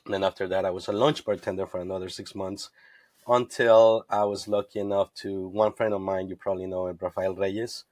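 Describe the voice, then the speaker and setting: A lowish-voiced man from Ecuador recounts his path in the drinks trade as a podcast guest. He talks in an earnest, storytelling way, dotted with "you know". The sound is close and dry, with no room around it. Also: little pitch movement, brisk, a little mellow, no room sound. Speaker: guest, tequila brand representative. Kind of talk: casual podcast interview.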